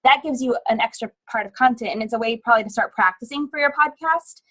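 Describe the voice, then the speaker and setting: A woman's voice: fairly high pitch, fast, sharp tone. A woman co-hosting a casual group video call, talking in a chatty, rambling way with fillers. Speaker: co-host.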